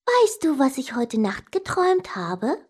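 A woman's voice: high-pitched, big pitch swings, a little slow, a little mellow, husky, voice pushed up, heavily acted. A woman voicing a sly, teasing older sister in a children's story, insistent and emphatic. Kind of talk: children's story character dialogue.

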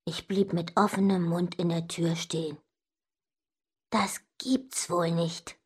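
A woman's voice: fairly low pitch, moderate pace, slightly rough. A woman voicing an indignant young child who tells stories about an older sister, expressively and straight to the listener. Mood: dismayed, grumbling. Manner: expressive, emphatic, addressing the listener directly. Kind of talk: scripted children's story narration.

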